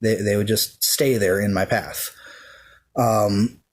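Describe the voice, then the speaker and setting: A man speaking off the cuff about his personal faith, hesitant and soft-spoken, with lots of ums and uhs and restarts. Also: fairly low pitch, fairly even intonation, takes it slowly. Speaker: pagan devotee sharing his views. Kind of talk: unscripted talk to camera.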